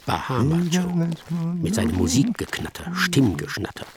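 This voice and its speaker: A man's voice: fairly high pitch, sing-song, moderate pace, a loud crowd. A man narrating a literary radio drama in rhythmic scripted prose.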